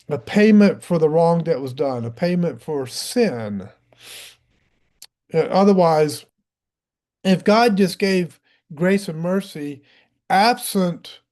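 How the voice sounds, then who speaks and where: A man explaining theology off the cuff in a deliberate, didactic way, with halting pauses and a habit of clearing his throat. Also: on the high side, a little mellow. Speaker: religious teacher.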